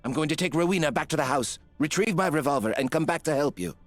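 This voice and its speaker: A man's voice: fairly high pitch, animated, fast talker, dry recording, soft background music, lightly acted. A man playing an anxious, devoted nobleman in a video game, speaking with earnest, melodramatic urgency. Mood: troubled, urgent. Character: anxious, devoted nobleman fearing for his wife. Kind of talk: theatrical game cutscene acting.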